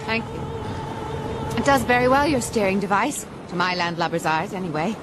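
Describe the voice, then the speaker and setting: An actress playing a spirited, determined young castaway woman in a radio drama, speaking politely in lively old-fashioned phrasing. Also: mid-range voice, normal speed, music in the background, lightly acted. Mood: pleasant. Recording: noisy boat and water ambience behind.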